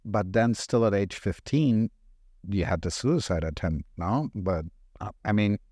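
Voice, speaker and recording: A man hosting a podcast, asking probing questions with gentle hesitations. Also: on the low side, animated, a little slow, no echo, clean studio sound. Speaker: podcast host. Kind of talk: interview questions.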